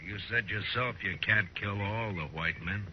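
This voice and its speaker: Male actor for plain-spoken frontier marshal, 1950s radio western. Low, world-weary, clipped lines; muffled archival sound, slight noise. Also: moderate pace, natural voice. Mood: wary, measured. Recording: dull, faintly noisy 1950s radio recording.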